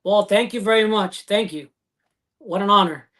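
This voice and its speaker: A man hosting an online interview show over a video call, effusive and halting with many fillers and restarts. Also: high-pitched, pushed and bright. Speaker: show host.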